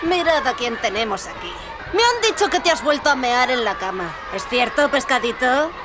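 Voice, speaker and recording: A cruel orphanage bully, a boy with a high, animated voice. He sneers and threatens over clearly noisy audio drama ambience. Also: normal speed, crowd noise. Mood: sneering. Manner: sneering, menacing taunts. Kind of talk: Spanish audio drama acting.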